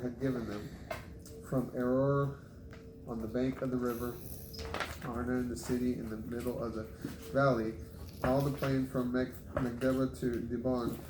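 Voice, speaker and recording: Male scripture reader, even and unvaried in pitch, stumbles on difficult names, roomy sound with background noise. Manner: halting, stumbles over unfamiliar names. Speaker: Bible reader. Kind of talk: reading scripture aloud. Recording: echoey room with clear background noise.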